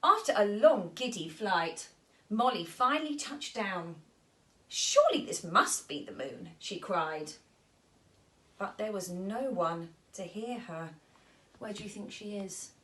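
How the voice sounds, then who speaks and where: A woman's voice: medium pitch, expressive, takes it slowly, sharp tone. A woman storyteller reading a children's story aloud expressively.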